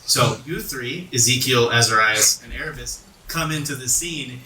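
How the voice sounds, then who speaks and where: A man's voice: medium pitch, moderate pace, background noise. A man narrating a tabletop roleplaying game as game master, in informal, descriptive storytelling. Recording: roomy, distant mic, music underneath. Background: music.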